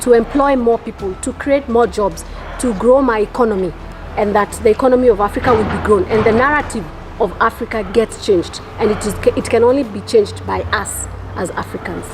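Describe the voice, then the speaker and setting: A woman's voice: fairly high pitch, fairly quick, noisy background. A woman from Kenya, an entrepreneur, answering interview questions in an earnest, reflective way with repeated phrases. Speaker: entrepreneur, property developer.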